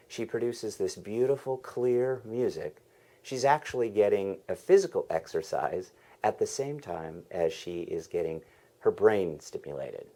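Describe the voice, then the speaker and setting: A man's voice: lowish voice, dark tone. A man hosting a podcast, earnestly reading a scripted introduction. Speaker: podcast host.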